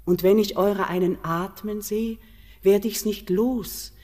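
Dark, echoing mountain queen played by a woman. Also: mid-range voice, fairly even intonation, lightly acted. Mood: solemn horror, longing. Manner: solemn, measured, otherworldly declamation. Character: ageless, lonely, yearning queen of the mountain. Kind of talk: classic German radio verse drama, declaimed. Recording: long cavernous reverb.

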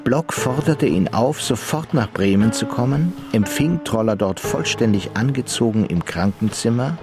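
Steady male narrator, fairly low. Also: normal speed, music in the background. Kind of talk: scripted narration.

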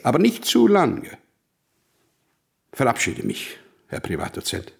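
An actor playing a brilliant, self-important, melancholic mathematician in a radio drama, with a husky voice and a pompous, wry, deliberate manner. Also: male voice, medium pitch, wildly swooping pitch, heavily acted. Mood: brisk, musing.

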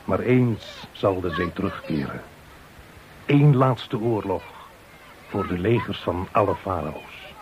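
An actor playing a grave soldier musing on desert war in a radio drama, with a measured, solemn delivery. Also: male voice, low voice, lively intonation. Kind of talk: poetic radio drama monologue. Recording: noisy radio play recording.

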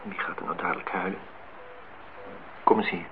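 A man's voice: sits low, fairly even intonation, normal speed, lightly acted. An actor playing a guarded, laconic man in his early thirties in a Dutch radio play, answering tersely and dryly. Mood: teasing, puzzled. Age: 30s. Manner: terse, dry replies. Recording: dull, noisy old radio play recording.

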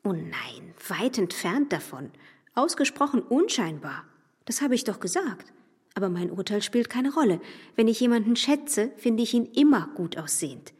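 An actress playing a reserved young woman in a radio play, speaking in a quiet, measured way. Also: medium pitch, sing-song, husky. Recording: radio play with long reverb.

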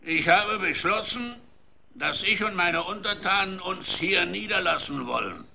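Self-important Gothic king, high forceful bark, gravelly edge, commanding, children's radio play. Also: lively intonation, a little slow, slight background noise, heavily acted. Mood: proclaiming. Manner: commanding, military barking. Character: bossy, self-important king of the Goths barking orders. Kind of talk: children's radio drama acting.

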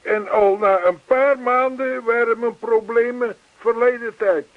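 A man's voice: very high-pitched, fairly even intonation, unhurried, no room sound. A man playing an earnest, chatty older neighbour in a radio play, persistent, reassuring and long-winded. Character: earnest, chatty older male neighbour zealous about yoga. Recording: thin, phone-like old radio play recording.

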